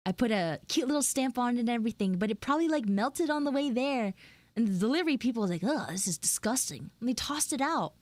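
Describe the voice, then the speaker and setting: A woman's voice: a little high, animated, fast, no echo. A woman livestreamer talking casually and expressively to her viewers in informal, exclamatory storytelling. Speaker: VTuber livestream host. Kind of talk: live roleplay chat with viewers, casual storytelling.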